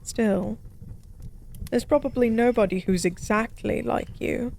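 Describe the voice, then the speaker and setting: A woman playing a forgotten goddess as a tipsy pub stranger in an intimate audio roleplay, speaking softly in slow, wistful philosophical rambles. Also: on the high side, gravelly, slight background noise. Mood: reflective. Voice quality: soft. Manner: slow, musing, rambling, teasingly cryptic.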